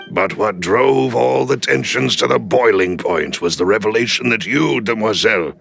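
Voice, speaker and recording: An actor playing a loyal, deferential older male retainer who speaks gravely and formally in a video game cutscene. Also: fairly low pitch, sharp tone, slight background noise. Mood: grave, earnest. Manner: formal, measured, deliberate phrasing.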